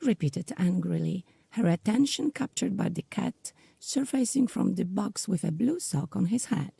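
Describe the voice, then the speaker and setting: A woman with a husky, creaky voice reading scripted story narration for an audio drama. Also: mid-range voice, animated, normal speed, mellow, no echo, clean studio sound.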